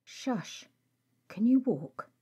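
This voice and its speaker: A woman voicing an exasperated, protective male vampire in an audio story, scolding in a clipped, often hushed way. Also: fairly high pitch, animated, drawn-out words, slightly rough, voice pushed up, heavily acted.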